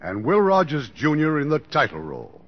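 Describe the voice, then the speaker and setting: A man's voice: on the high side, huge pitch swings, moderate pace. A man making scripted announcements in old-time radio style. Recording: muffled old radio broadcast. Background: loud music.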